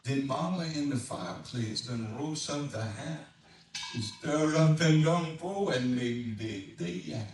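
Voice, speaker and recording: A man voicing an excitable old Cajun storyteller in dialect, with dramatic swoops, reading a storybook tale. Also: medium pitch, slow. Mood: folksy, savoring. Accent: Cajun Louisiana. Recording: echoey room, distant mic, noisy.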